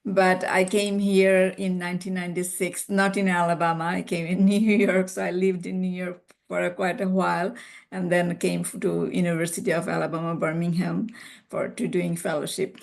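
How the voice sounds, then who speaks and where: A middle-aged woman with a Bangladeshi accent, a physician speaking as a guest on a video call, rambling and warm with frequent "you know" and restarts. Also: lowish voice, flat delivery, moderate pace, creaky. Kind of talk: conversational talk on a video call.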